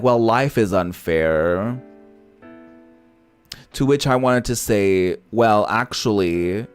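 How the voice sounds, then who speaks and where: A man who is a native Portuguese speaker, giving casual gameplay commentary in a sassy, opinionated way, swearing freely. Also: lowish voice. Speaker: gaming content creator playing a visual novel on stream. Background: background music.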